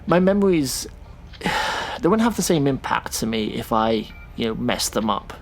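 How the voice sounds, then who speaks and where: A man playing a thoughtful, sceptical taxi driver in a naturalistic audio drama, talking in a casual, halting way. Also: mid-range voice, big pitch swings, a little hiss or hum. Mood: reflective.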